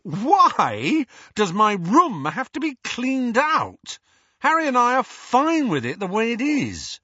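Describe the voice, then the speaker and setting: Man voicing a sulky teen boy, indignant, whiny, high and loud, husky, dull dry audio. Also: wildly swooping pitch, slow pace, dry recording, full character voice. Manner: whining, indignant. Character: sulky, hot-tempered teenage boy.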